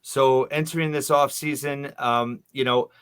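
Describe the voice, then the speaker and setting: A male podcast host conducting a sports interview. He speaks conversationally, in a measured, slightly halting way, at a middling pitch for a man. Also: steady tone, background noise.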